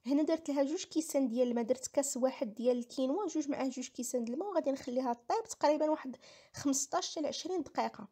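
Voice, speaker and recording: A Moroccan woman narrates a home recipe in a casual, explanatory way. Her voice is high and soft-edged, a little dark in tone. She talks quickly and often repeats herself as she goes. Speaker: home cooking vlogger.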